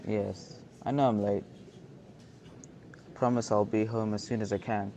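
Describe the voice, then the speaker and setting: Over audible background noise comes a flippant, talkative husband caught as a hostage, acted by a young man. His voice sits fairly low, and he complains cheekily in radio drama style. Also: little pitch movement, a little slow, mellow, highs cut off. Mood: casual, sheepish. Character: cheeky, chatty bank hostage and harried husband. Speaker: student actor. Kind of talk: amateur radio drama acting.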